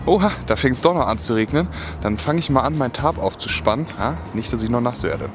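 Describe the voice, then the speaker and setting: A young man casually talking through cooking at his outdoor camp, relaxed and chatty with many filler words, recorded on a camera at arm's length. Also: medium pitch, brisk, over music. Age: young adult. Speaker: outdoor vlogger. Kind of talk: casual cooking vlog narration. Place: outdoors in a windy wood in rain. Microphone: camera mic at arm's length. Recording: outdoor wind and rain noise. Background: wind and rain.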